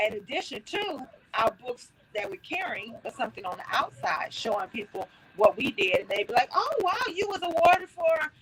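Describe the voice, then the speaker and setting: A woman's voice: sits high, big pitch swings, moderate pace, fairly bright tone, poor audio. A woman hosting a casual livestream on her phone, talking in a folksy way with many fillers and restarts. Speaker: host.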